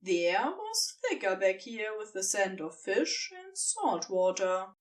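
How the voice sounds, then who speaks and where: A woman reading scripted fan fiction narration in an expressive storytelling manner. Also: mid-range voice, slow pace, distant mic.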